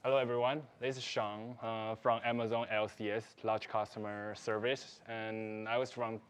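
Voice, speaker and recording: A man's voice: fairly low pitch, flat delivery, moderate pace, smooth. Taiwanese man giving a chatty sales talk. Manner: non-native phrasing, frequent "right?" checks, loose and chatty. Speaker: ads marketer presenting at a seller event. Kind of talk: conference presentation. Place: live event in Singapore.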